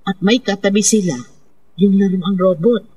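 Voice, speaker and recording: A woman playing a frightened, pleading believer in ghosts in a horror radio drama, with a husky voice. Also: mid-range voice, steady tone, moderate pace, dark tone. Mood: fearful pleading.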